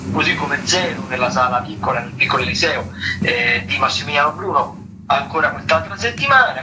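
A man giving an informal radio rundown as a theatre critic, halting and digressive, rephrasing as he goes. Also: fairly high pitch. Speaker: radio theatre critic reviewing and listing shows. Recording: distant radio mic with music behind. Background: music.

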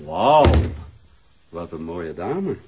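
An actor with a Flemish accent playing a smooth, flattering and pushy photographer in a naturalistic TV drama. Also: male voice, deep voice, drawn-out words, lo-fi sound, slight background noise, exaggerated voice. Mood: smooth, apologetic. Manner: smooth-talking, persuasive.

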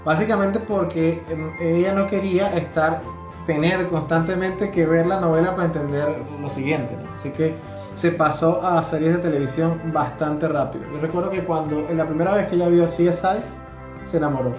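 A Venezuelan man co-hosts a conversational podcast in an explanatory way. He often tags his points with a quick check for agreement. The room is echoey and music plays behind him. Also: on the high side, fairly even intonation. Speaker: podcast co-host. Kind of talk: casual conversational discussion. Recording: roomy echo with music behind.

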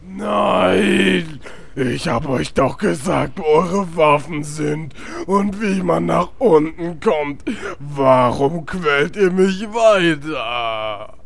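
Pitiful orc guard, slow and creaky. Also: male voice, on the high side, full character voice. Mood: pleading. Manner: whimpering, slow pleading. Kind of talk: parody audio drama acting.

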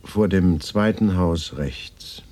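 An actor in a radio drama playing a weary, defensive war survivor who speaks in a subdued, evasive way. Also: male voice, deep voice, slow, mellow. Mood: reticent. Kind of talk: 1980s German radio drama dialogue.

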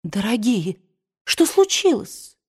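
A woman plays a kind young woman whose voice lifts a little higher than the narrator's. She speaks softly and soothingly, as in a read-aloud novel. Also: big pitch swings, slow pace, husky, heavily acted. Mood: alarmed. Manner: soft, soothing, sweet.

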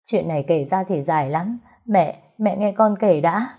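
A woman's voice: lowish voice, normal speed, dark tone. A woman voicing a meek, guilt-ridden young daughter, pleading and apologetic, in an audio story. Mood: desperate pleading.

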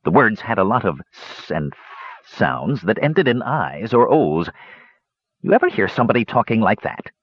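A man's voice: on the low side, very animated, normal speed, breathy edge, no room sound, highs cut off. A man voicing a grumpy, battle-weary old dwarf hermit in a fantasy audiobook, with coarse, growling, folksy rustic speech. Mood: storytelling.

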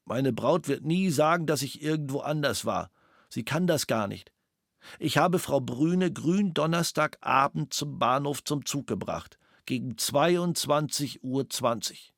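A man reading a written statement aloud in a flat, even manner. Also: medium pitch, a little slow, vocal fry, no echo, studio quality.

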